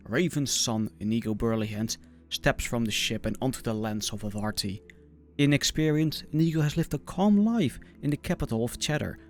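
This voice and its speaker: A man with a Dutch accent narrates a scripted fantasy tale in a mid-range voice. His delivery is measured and dramatic, with faint music behind it. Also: mid-range voice. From Netherlands. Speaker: story narrator.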